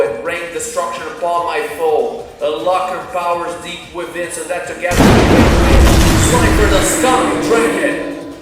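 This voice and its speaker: A man's voice: fairly high pitch, lightly acted. Echoing, confident anime-style duelist man acting. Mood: grand, invoking. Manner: declamatory, announces each move formally. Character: confident male card duelist, chanting dramatic summons. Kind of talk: fan roleplay anime-style duel acting. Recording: roomy echo under music.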